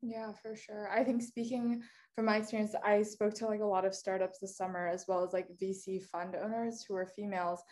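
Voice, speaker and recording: A woman's voice: mid-range voice, little pitch movement, a little fast, darkish tone. A woman interviewer chatting casually, using "like" constantly as she shares what she has heard. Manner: casual, full of "like" fillers.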